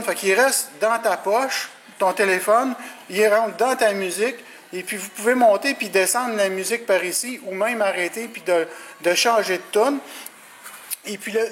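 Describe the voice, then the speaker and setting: A man's voice: high-pitched, fairly bright tone, slight background noise. A man with a Quebec French accent giving a casual, colloquial hands-on product walkthrough as an installer. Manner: informal, colloquial, hands-on explaining. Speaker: CB radio installer presenting his work.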